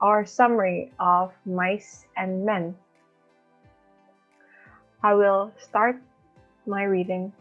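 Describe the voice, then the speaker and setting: A young adult woman from Indonesia reading a prepared book summary aloud as a student. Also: medium pitch. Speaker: student reader. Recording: thin phone-like audio with background music. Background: music.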